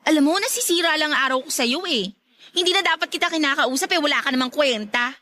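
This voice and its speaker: A woman's voice: high-pitched, fast talker. A woman acting a feisty, disdainful young woman in a radio drama, scolding and haughty as she rebuffs a suitor. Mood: scornful. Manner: scolding, haughty, sharp-tongued.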